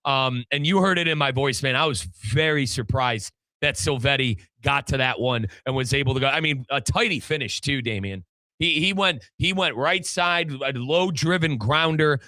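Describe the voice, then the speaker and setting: Bright-voiced American man talking soccer. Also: mid-range voice, normal speed, no room sound. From United States. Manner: informal, chatty, slangy. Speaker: sports podcast host and play-by-play commentator. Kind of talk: casual, animated soccer discussion.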